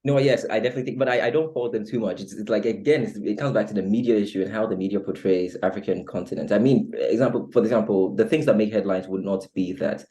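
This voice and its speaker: Male guest, Ghanaian accent, low-pitched and dark-toned, measured, diplomatic, conversational. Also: steady tone. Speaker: interview guest. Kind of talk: casual conversation.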